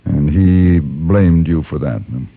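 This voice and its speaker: An actor playing a calm, probing frontier gentleman who is hero and narrator of a Western radio drama, measured and understated in his questioning. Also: very deep voice, creaky, full character voice. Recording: dull old radio recording with music.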